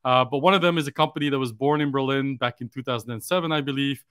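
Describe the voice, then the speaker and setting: A native Dutch-speaking man in his forties hosts an interview over a headset on a video call. His voice sits at a middle pitch with a dry, close sound. He speaks steadily, opening many phrases with a soft "uh". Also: steady tone, clean tone, no echo. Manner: measured, fills pauses with "uh". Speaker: podcast host, interviewer. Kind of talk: interview hosting. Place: room at home, indoors.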